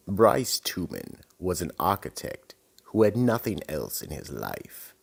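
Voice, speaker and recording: An extremely deep, creaky-voiced horror host, played by a man, narrates an old-time radio mystery. He is slow and ominous, with theatrical swells and drops in his delivery. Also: full character voice. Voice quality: gravelly, husky. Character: sinister slow male horror host, keeper of a vault.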